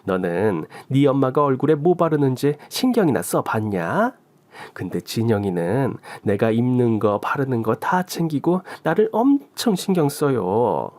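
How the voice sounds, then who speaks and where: Guy doing an older korean mother-in-law, fond scolding, swoopy pitch, dry close sound, radio story. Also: very animated, unhurried, darkish tone, no room sound. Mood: playful, doting. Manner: scolding fondly, colloquial. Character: affectionate, teasing elderly mother-in-law, bossy but doting.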